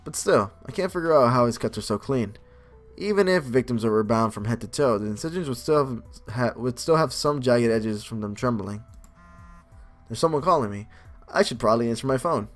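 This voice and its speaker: A man's voice: mid-range voice, animated, fairly quick, faint music behind. A man hosting a gaming video, reading game text aloud and adding casual commentary.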